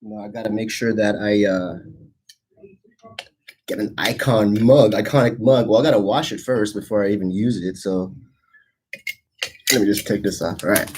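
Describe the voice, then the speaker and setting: A man's voice: fairly low pitch, normal speed, pauses often, darkish tone, breathy edge. A man in his forties, born in the Philippines, hosting a casual livestream show in a rambling, chatty way with lots of fillers and restarts. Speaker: host.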